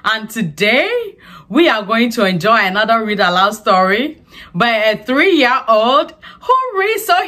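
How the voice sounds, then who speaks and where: A woman hosts a storytime for small children. Her voice is high and bright, with lively swings in pitch as she addresses her young audience. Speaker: host of a children's read-aloud show. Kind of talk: scripted introduction and questions for young viewers.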